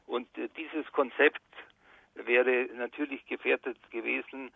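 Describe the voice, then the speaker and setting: A man's voice: medium pitch, fairly even intonation, measured pace, creaky, slightly rough, no room sound. A man explaining in an interview in a careful, academic manner. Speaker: expert interviewee. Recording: tinny, phone-like line.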